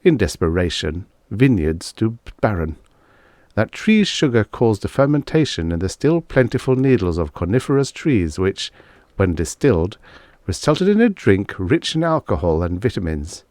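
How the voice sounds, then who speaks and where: A man reading a vintage science fiction audiobook in a measured, formal storytelling manner. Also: lowish voice, very animated, a little mellow, dry recording. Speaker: audiobook narrator. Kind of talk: scripted narration of vintage science fiction stories.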